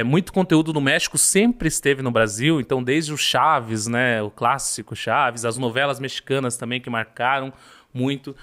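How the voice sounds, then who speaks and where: A man's voice: medium pitch, fairly bright tone. A man hosting a casual interview chat, agreeing often and asking follow-up questions. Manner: conversational, affirming, asks follow-up questions. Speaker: host.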